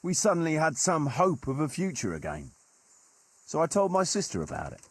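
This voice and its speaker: Wry medieval mercenary leader for a game: husky, animated storyteller, very dry recording. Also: male voice, fairly high pitch, brisk, no echo, heavily acted. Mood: bitter, reflective. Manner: colloquial, archaic turns of phrase, wry. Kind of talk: dramatic storytelling in a video game cutscene.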